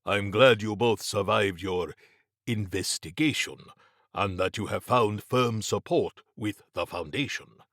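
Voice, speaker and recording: A man voicing a wry, erudite gentleman occultist for an audiobook, speaking formally and deliberately with a faintly pompous, understated manner. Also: fairly low pitch, dry recording, studio quality. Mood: dry, wry. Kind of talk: audiobook character acting.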